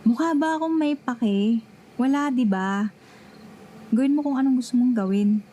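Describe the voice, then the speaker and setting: A woman's voice: high-pitched, moderate pace, dark tone, slight background noise, lightly acted, rough recording. A young woman with a Filipino accent playing a jealous, sulky best friend who fakes indifference in an ASMR roleplay. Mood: dismissive, jealous. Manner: dramatic, sulky, sarcastic. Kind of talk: ASMR roleplay, one-sided dialogue.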